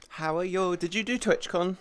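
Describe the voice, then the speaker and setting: A man's voice: high voice, fairly bright tone, smooth, no room sound. A man in his thirties streaming, rambling in casual chat with his viewers, swearing freely and greeting chatters by name. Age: 30s.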